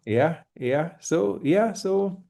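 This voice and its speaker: A Kenyan man with a Kenyan accent giving relaxed, reassuring advice on a video call, with lots of fillers and restarts. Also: a little high, very slow, creaky. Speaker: host advising on moving to Kenya. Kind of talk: casual advice chat on a call. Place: home in Kenya.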